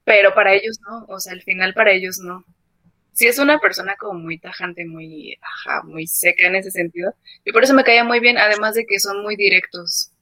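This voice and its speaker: A woman's voice: medium pitch, fairly even intonation, a little fast, bright, forceful tone, no echo. A woman, a guest in a casual chat, chatty and peppering her talk with fillers. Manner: chatty, lots of fillers like ajá.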